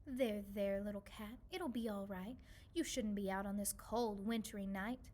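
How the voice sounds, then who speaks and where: A woman voicing a kindly, lonely old woman in a children's storybook, gentle and soothing as she reminisces. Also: medium pitch, a little slow, natural voice. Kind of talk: children's storybook read-aloud.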